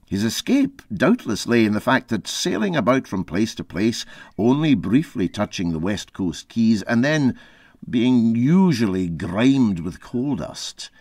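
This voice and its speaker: A man reading a literary story aloud as an expressive narrator. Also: fairly low pitch, dry recording, studio quality. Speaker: story reader.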